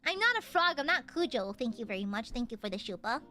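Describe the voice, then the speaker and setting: A young woman streamer chatting casually, with a clear, slightly nasal voice. She laughs often. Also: fairly high pitch, very animated, quick pace, sharp tone, soft background music. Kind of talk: casual chat.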